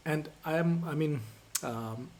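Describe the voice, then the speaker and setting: A man's voice: a little high, a little mellow. Reflective man with faint background noise. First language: Urdu. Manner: reflective, halting, repeats words. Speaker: song reaction co-host. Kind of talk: casual reaction chat.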